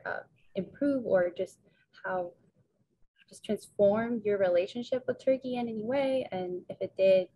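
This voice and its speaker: Mellow-voiced woman moderator. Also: mid-range voice, rough recording. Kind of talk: reading audience questions.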